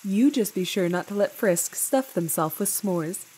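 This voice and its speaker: A woman voicing a gentle motherly goat monster in a comic dub, speaking formally, precisely and earnestly. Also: medium pitch, lively intonation, unhurried, a little hiss or hum, close to an everyday voice. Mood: concerned.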